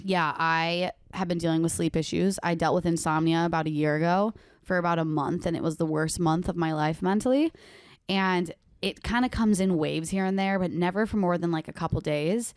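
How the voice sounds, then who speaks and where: A woman's voice: fairly low pitch, fairly even intonation, fairly quick, no room sound, studio quality. A young woman co-hosting a podcast in casual chat, speaking frankly, swearing freely and laughing between lines. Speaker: podcast co-host.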